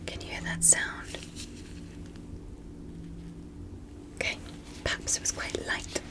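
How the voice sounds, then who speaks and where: Casting: woman as courteous alien medical examiner for ASMR roleplay, breathy whisper throughout, careful and reassuring. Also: lightly acted. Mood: hushed, clinical, curious. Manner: slow, careful, softly reassuring. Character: polite, gentle alien doctor examining a human.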